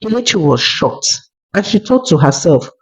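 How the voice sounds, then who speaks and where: A narrator telling a scripted folktale in a measured way, as a storyteller. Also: medium pitch, big pitch swings, slightly rough. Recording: dull lo-fi recording.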